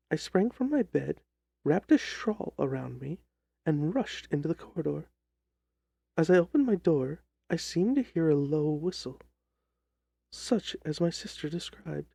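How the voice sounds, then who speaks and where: Distressed young gentlewoman, played by a man, formal and theatrical, soft dark tone, dry close sound, audiobook drama. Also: on the high side, vocal fry, breathy edge, no room sound, heavily acted. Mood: fearful, grave. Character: distressed young gentlewoman recounting her sister's terrifying death.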